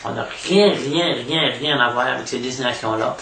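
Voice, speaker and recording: A man with a Québécois French accent giving an informal spiritual lecture as a devotional preacher, haltingly, repeating words and adding little question tags. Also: mid-range voice, gravelly, bright, forceful tone. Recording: echoey room, distant mic, faint noise.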